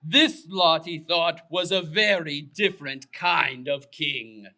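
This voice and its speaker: High, very bright male storyteller. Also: takes it slowly. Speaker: puppet show narrator. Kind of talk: scripted children's storytelling.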